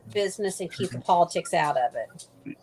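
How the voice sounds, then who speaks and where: A woman's voice: lowish voice, expressive, fairly quick, a little mellow, slightly rough. A woman in a casual group chat, adding short supportive remarks.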